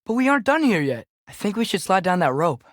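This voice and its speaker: A man's voice: sits high, very animated, fast talker, dry recording. Teen boy game companion, american, light youthful voice, curious and earnest, kinda casual, dry studio sound. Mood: alert, excited, then curious, observant. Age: teenager. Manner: casual, conversational, observant. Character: earnest, curious, alert adolescent boy adventurer. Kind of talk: video game companion dialogue.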